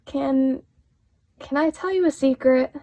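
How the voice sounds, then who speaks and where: A woman's voice: high-pitched, slow, warm tone. A woman acting a catty, sardonic former star actress in a radio play. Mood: sardonic, confessional.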